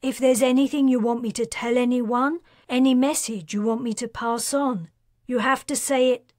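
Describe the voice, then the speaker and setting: A woman's voice: fairly high pitch, steady tone, normal speed, a little mellow, no echo, studio quality, pitched above the performer's own voice, close to an everyday voice. A woman voicing a young mage woman who scolds sharply and is near tears, in an audiobook. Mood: grieving.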